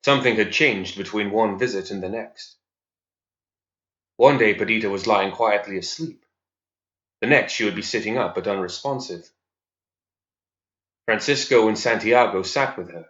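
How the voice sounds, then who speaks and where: A man reading fantasy fiction as scripted narration in a measured, serious storytelling manner. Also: on the low side, fairly bright tone. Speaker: story reader. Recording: roomy, slightly dull recording.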